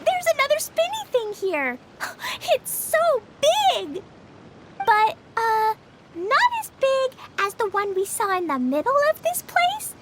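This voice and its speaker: A woman voicing an excitable, wide-eyed little girl adventurer in a video game, with childlike exclamations. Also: very high-pitched, slow pace, heavy background noise, heavily acted. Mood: amazed. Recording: music playing behind the voice.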